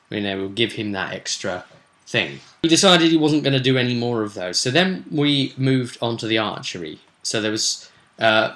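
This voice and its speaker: A man's voice: mid-range voice, bright, forceful tone. A man talking casually as a game master, recapping a tabletop session in a chatty, rambling, explanatory way. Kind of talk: casual vlog recap of a role-playing session.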